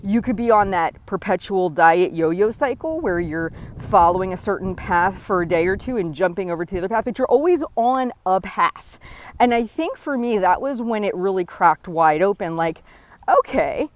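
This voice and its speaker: A woman in her forties hosting a podcast, talking earnestly and encouragingly straight to the listener, often checking in with a quick "right?". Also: mid-range voice, expressive. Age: 40s. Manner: earnest, encouraging, direct address with frequent "right?". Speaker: podcast host. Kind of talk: motivational solo talk.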